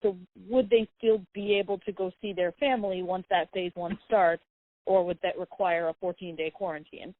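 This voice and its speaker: A woman's voice: fairly low pitch, steady tone, normal speed, dry recording. A woman reporter speaking conversationally as she asks questions on a press conference call. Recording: thin, tinny phone line.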